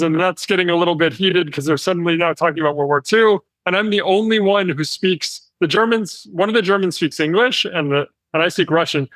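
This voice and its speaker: An American man, a company founder, telling travel stories as an interview guest with lively delivery and frequent fillers. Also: on the high side, no echo, low-quality recording. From United States. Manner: animated storytelling with frequent fillers. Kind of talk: conversational interview.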